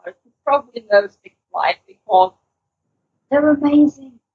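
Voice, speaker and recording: A woman chatting casually as a podcast co-host, enthusiastic and speaking in halting phrases. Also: high voice, expressive. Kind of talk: casual podcast chat, recommending audio stories. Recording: muffled, lo-fi voice recording.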